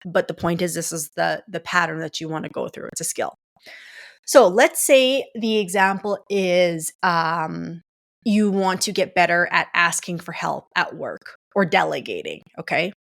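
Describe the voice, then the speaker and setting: Low-voiced woman coaching in casual talk. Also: animated, measured pace, gravelly, a bit bright. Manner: explains step by step, checking in with "okay?". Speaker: coach and podcast host.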